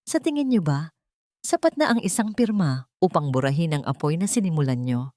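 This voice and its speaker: Wronged wife played by a female narrator, Filipino accent, low, composed, menacing, out for revenge; dry audio drama. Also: lively intonation, a little fast, warm tone, no room sound. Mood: cold, veiled threat. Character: vengeful, dignified wronged young wife, coldly composed.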